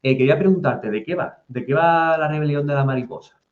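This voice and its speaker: A man's voice: fairly high pitch, brisk, roomy sound, dull audio. A man from Andalusia with an Andalusian Spanish accent, hosting a casual podcast interview and talking hesitantly, with many fillers and restarts. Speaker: podcast host.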